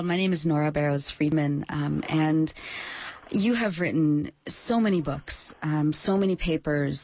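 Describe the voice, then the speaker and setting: A woman's voice: deep voice, muffled recording. A woman co-hosting a radio interview, asking long questions with many ums. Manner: halting, um-filled questions. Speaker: radio co-host.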